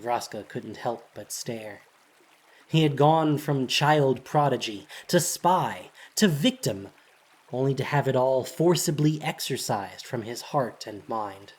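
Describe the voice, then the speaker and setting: A narrator with a husky, breathy voice reads a dramatic story in measured, solemn, deliberate phrases. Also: mid-range voice, expressive, darkish tone.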